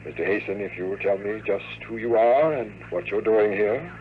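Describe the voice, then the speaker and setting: A man acting a calm, menacing longevity expert in a 1950s radio drama, measured and coolly polite. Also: fairly low pitch, close to an everyday voice. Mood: coolly threatening. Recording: noisy, narrow-band vintage radio recording.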